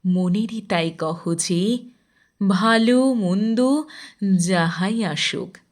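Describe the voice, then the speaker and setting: A woman reciting a poem expressively, with measured, deliberate phrasing and drawn-out line endings. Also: mid-range voice. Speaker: poetry reciter.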